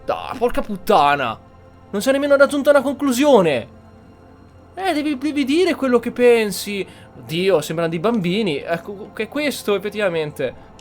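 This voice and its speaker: A man with a high voice and an Italian accent plays through a game and comments casually as he goes. His delivery is informal and a little sweary, with faint music underneath. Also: big pitch swings, moderate pace. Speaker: game streamer, host. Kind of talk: casual gameplay commentary with roleplay.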